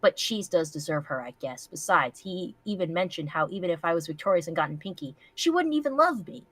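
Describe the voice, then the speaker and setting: A woman's voice: fairly low pitch, expressive, brisk, fairly bright tone, dry recording. A woman voicing a brooding, self-pitying jealous male pony in a melodramatic, wounded reading of fan fiction.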